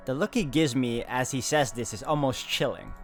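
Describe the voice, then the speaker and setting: A man's voice: medium pitch, normal speed. A man hosting a game playthrough, reading story text dramatically and adding casual commentary. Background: game music.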